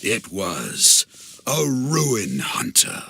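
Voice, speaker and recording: An actor playing an excitable, theatrical male storyteller in a fantasy game, spinning grandiose heroic tales with florid emphasis and dramatic pauses. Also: lowish voice, husky, exaggerated voice. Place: studio voice recording.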